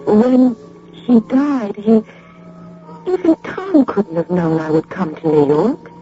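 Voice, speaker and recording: Bewildered, searching widow, radio drama, plain-spoken, slightly high, mellow voice. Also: female voice, big pitch swings, takes it slowly, exaggerated voice. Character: confused, searching young widow from the Midwest. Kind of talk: old-time radio drama acting. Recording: muffled, noisy radio drama recording.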